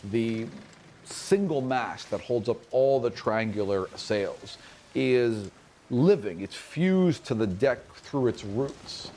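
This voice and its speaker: A man's voice: mid-range voice, lively intonation, slow, a little mellow, a little hiss or hum. A man acting as game master, narrating a tabletop fantasy game in an explanatory, conversational way.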